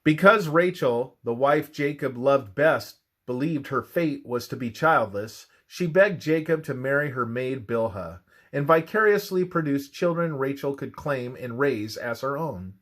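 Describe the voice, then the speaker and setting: Slow, expressive man reading devotional narration. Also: mid-range voice. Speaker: narrator reading a religious presentation.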